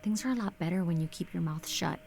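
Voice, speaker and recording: A woman's voice: fairly low pitch, fast, vocal fry, a little mellow, faint music behind. A woman playing a self-assured, defiant military operative, firm and cutting, in a scripted drama. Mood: defiant, scornful.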